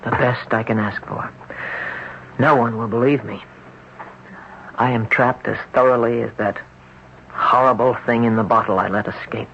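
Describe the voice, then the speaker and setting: A man's voice: medium pitch, steady tone, vocal fry, fairly bright tone, slightly rough. Haunted young man, muffled recording. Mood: desperate, intense. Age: 20s. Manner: earnest, brooding, deliberate. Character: haunted, desperate young man trapped in another's body. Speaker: hospital patient in a radio drama. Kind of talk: old-time radio drama acting. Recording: muffled, noisy old radio broadcast recording.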